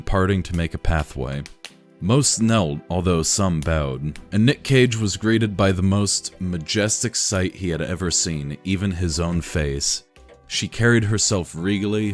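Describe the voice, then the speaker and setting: A man reading a fan story aloud in a dry, deadpan narrating manner. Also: low-pitched. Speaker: reader.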